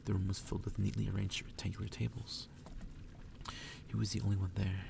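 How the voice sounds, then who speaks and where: A man softly reading a story aloud in ASMR style, sometimes dropping toward a whisper and stumbling over the text. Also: on the low side, level tone, brisk, gravelly, distant mic, background noise. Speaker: ASMR reader.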